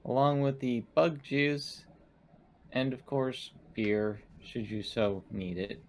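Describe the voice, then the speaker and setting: A man voicing a polite, dutiful female android who serves a ship's crew in a tabletop game, speaking in a formal, measured, literal way. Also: medium pitch, steady tone, slight background noise. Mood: courteous, matter-of-fact.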